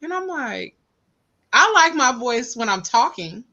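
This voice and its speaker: A woman co-host with a Southern US accent, chatting casually and animated. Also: fairly high pitch, measured pace, muffled recording. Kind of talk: casual podcast chat.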